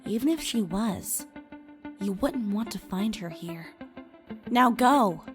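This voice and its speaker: A woman voicing a mysterious, frank otherworldly girl in a visual novel, speaking deliberately and with caution. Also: mid-range voice, lively intonation. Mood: urgent warning. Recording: loud guitar music under voice. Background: guitar music.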